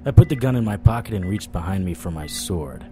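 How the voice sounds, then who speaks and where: A man's voice: sits low, lively intonation, fairly quick. An actor playing a sardonic vampire-hunting private eye, telling his story in a dramatic noir audio drama style, over music. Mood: grim, urgent. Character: hard-boiled, wisecracking male vampire-hunting private eye. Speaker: narrator and lead actor. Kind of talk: first-person noir audio drama narration. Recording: music bed under the voice.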